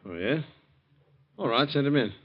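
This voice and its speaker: An actor playing a moody, defensive young son of a prosecutor in an old-time radio drama, edgy, evasive and curt. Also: male voice, mid-range voice, lively intonation, moderate pace, slight background noise, close to an everyday voice. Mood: guarded, curt. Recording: dull vintage radio recording.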